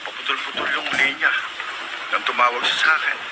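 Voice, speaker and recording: A man's voice: medium pitch, steady tone, a little fast, heavy background noise, lightly acted. A man playing an anxious, earnest young deliveryman in love in a radio drama. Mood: worried. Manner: worried, earnest.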